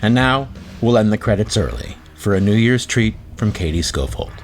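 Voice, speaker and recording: A man's voice: on the low side, big pitch swings, normal speed, a little hiss or hum. A man announcing scripted closing credits for an audio drama. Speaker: announcer reading show credits. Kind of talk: scripted narration. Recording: prominent music behind.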